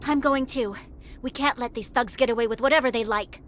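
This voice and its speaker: A woman's voice: fairly high pitch, fairly quick, noisy background, natural voice. A woman voicing a brave, righteous young heroine in a video game, earnest and resolute. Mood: indignant, determined. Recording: game music behind the voice. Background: background music.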